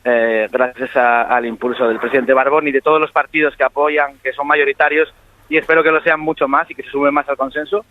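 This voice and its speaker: A man from Asturias, a radio guest calmly explaining his case by phone, now and then chuckling lightly. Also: mid-range voice, a little fast. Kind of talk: phone interview. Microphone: telephone. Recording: tinny phone line.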